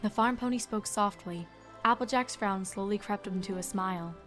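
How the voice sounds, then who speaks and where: A woman's voice: medium pitch, normal speed, fairly bright tone, soft background music. A woman reading scripted story narration as the narrator.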